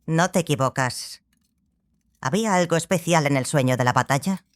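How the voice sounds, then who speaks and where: A woman playing a learned, exacting small sorceress who mentors a student, speaking in a measured, matter-of-fact way in an audiobook drama. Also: sits low, natural voice. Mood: composed, probing.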